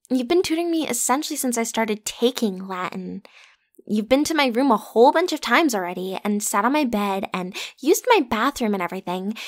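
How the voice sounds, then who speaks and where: A woman's voice: on the high side, natural voice. A woman playing a popular, breezy, pushy teenage schoolgirl in an ASMR roleplay, talking casually and teasingly as if to one listener. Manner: playful, teasing, casual youthful phrasing with giggles. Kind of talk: ASMR roleplay, one-sided conversational acting.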